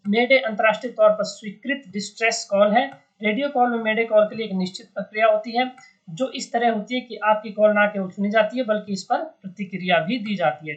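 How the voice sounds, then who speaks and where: An educator giving an informal explainer on the news in a didactic way, repeating points and often adding little check-in tags. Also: mid-range voice. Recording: dull lo-fi sound.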